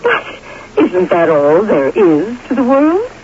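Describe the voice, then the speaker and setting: An actress playing a gentle, thoughtful middle-aged old sweetheart in a radio drama. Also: female voice, medium pitch, wildly swooping pitch, takes it slowly. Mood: tender, hesitant. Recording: dull, noisy old radio broadcast.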